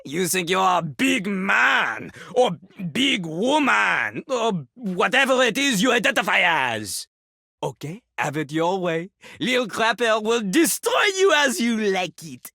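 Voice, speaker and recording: Cocky male French toilet rapper, video game character, husky, pompous, swooping animated pitch, French accent. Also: takes it slowly, dry recording, studio quality, heavily acted. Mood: challenging. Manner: pompous, talks of himself in third person. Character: cocky French rapping toilet, male, theatrical and self-important.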